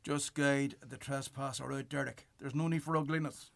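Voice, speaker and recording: An actor playing a cold, officious eviction bailiff who speaks in formal legalese in a radio play. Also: male voice, medium pitch, fairly even intonation, moderate pace, vocal fry, fairly bright tone, no room sound, studio quality. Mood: officious, firm.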